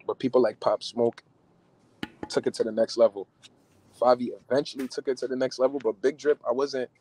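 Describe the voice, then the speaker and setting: A man's voice: medium pitch, brisk, a little hiss or hum, low-quality recording. Laid-back guy on a video call from his car. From New Jersey. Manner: relaxed slangy talk, trailing off into fillers. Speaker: guest. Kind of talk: casual chat. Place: car interior. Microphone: phone camera at arm's length on video call.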